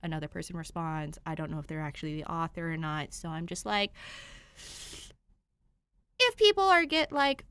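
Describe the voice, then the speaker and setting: A woman's voice: low-pitched, lively intonation, normal speed, long pauses, fairly bright tone, smooth, dry recording. A woman hosting a channel, talking casually and informally to listeners with emphatic stresses. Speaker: podfic reader and channel host.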